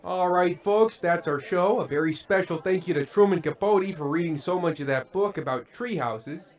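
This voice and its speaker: An actor playing a glum, fretful middle-aged variety show host in a radio play, with a halting, stammering delivery. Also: male voice, sits high, lightly acted. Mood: showman patter. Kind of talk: old-time radio play acting.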